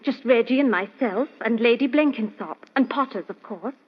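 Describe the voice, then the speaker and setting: On a dull old radio recording, a young lady's companion with a very high voice. She is earnest and chatty, with a genteel, fluttery manner suited to a vintage radio mystery. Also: normal speed, breathy edge.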